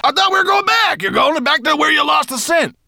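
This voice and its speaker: A nervous storybook dwarf, servile yet cross. He stammers and sputters as if soaked and shivering, his pitch jumping high above a normal speaking voice. The sound is close and dry. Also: animated, brisk, vocal fry, sharp tone, dry recording, heavily acted. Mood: cross, complaining.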